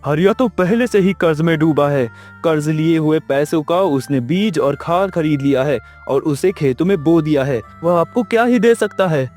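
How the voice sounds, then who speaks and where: A man's voice: a little high, moderate pace, few pauses, a little mellow, soft background music, natural voice. A man voicing a plain-spoken, supportive villager in a Hindi moral-story cartoon, direct and encouraging. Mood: protesting.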